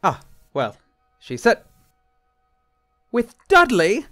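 A man plays a proud bishop in an audio drama, formal and easily annoyed. His emphatic delivery swings widely in pitch, over background music. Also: huge pitch swings, drawn-out words, fairly bright tone, heavily acted. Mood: taken aback. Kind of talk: radio-play style dramatic acting.

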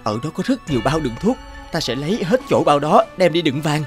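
An actor playing a greedy, excitable young peasant man in a fairy-tale cartoon, dramatic and given to exclaiming and pleading. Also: fairly high pitch, a little fast, faint music behind. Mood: scheming, excited. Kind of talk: animated fairy-tale character acting.

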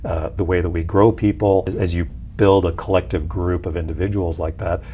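A man's voice: deep voice, little pitch movement, fairly quick, warm tone, slight background noise. A man in his forties, a company executive explaining things conversationally in an interview. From Peru. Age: 40s. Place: small indoor office lounge. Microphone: lapel mic.

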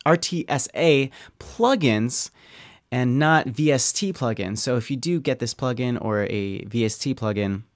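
A man talking through a software tutorial as an audio engineer, in an easygoing, conversational way with informal asides. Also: mid-range voice, expressive, a little slow, no room sound, studio quality. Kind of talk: casual software tutorial talk.

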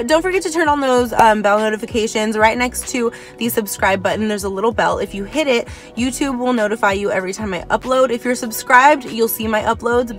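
A woman in her twenties talking casually and upbeat to the camera from the driver's seat of a parked car. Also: fairly high pitch. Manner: chatty and upbeat, frequent "like" fillers. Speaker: vlogger. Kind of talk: casual vlog talk to camera.

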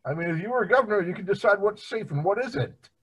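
A man's voice: high-pitched, lively intonation, quick pace, a bit bright, slightly rough, heavily acted. A man voicing a dim, amiable family man, bumbling and rambling, in an amateur cartoon table read. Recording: muffled, lo-fi video call.

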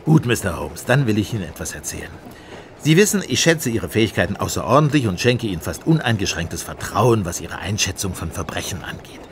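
Casting: blunt, sardonic Scotland Yard man, low-pitched, radio play, faint vehicle rumble in background. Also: big pitch swings, a little fast. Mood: sceptical, firm. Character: grumbling, sceptical male Scotland Yard police inspector. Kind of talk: German radio play dialogue. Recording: train rumble behind the voice.

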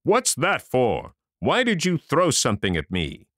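A second giant, roused and indignant, speaking in slow, stretched-out protests, voiced by a man reading a storybook. The sound is dry with no room. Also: mid-range voice, huge pitch swings, fairly bright tone, dry recording, voice pushed up.

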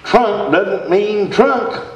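A man's voice: high-pitched, expressive, extremely slow, creaky, heavily acted. A man playing an earnest, self-important small-town speech teacher in a comic radio sketch on stage. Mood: lecturing. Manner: folksy, pompous, instructing. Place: theatre stage, indoors. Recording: dull, roomy, distant stage sound.

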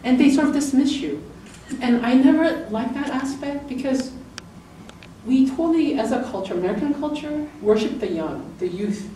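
A middle-aged woman giving an informal book talk, chatty and often laughing, lifting her pitch as she acts out quoted lines. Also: animated, moderate pace, mellow. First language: English. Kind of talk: informal presentation. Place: lecture room, indoors. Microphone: far camera in the room. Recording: roomy, distant camera audio with noise.

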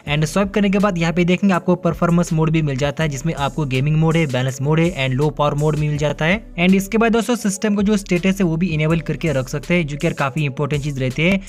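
A man's voice: a little high, fast talker, hardly any pauses, a little mellow, faint music behind. A man narrating a hands-on phone feature walkthrough in an upbeat way, mixing English words into his Hindi. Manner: upbeat, repeats filler phrases, mixes English words into Hindi. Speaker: tech reviewer. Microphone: off-camera voice-over over hands-on phone footage.

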